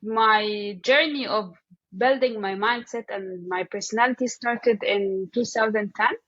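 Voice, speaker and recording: A woman from Egypt with an Egyptian accent, speaking casually and haltingly as an interview guest, often saying "like" as she thinks aloud. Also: medium pitch, a bit bright, muffled recording.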